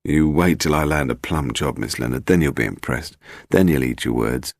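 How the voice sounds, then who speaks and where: Man acting a languid, charming wastrel, low-pitched. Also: normal speed, vocal fry, no echo, studio quality. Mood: teasing.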